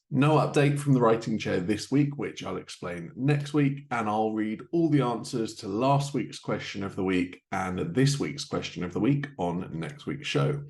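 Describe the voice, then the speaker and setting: A male podcast host in an upbeat, playful manner. His voice sits a little low, and he moves between scripted announcements and light teasing of his guests. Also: a little mellow. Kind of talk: scripted intro then interview chat.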